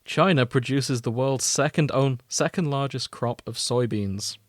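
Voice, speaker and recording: A man's voice: medium pitch, measured pace, no room sound, studio quality. A British man chatting casually as a podcast co-host.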